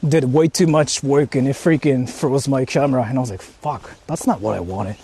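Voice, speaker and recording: A man's voice: mid-range voice, moderate pace, warm tone, husky. A man livestreaming a casual vlog outdoors, chatting to viewers and thinking aloud with plenty of swearing. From Japan. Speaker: livestreamer exploring haunted spots. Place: outdoors on the move in rural area. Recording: noisy outdoor livestream recording.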